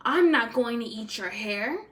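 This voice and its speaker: A hungry storybook coyote trickster, acted out by a woman, sly and menacing. His high, slow calls stretch out, full of coaxing and bluster. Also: expressive, a bit bright. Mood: scheming. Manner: drawn-out wheedling and blustering calls.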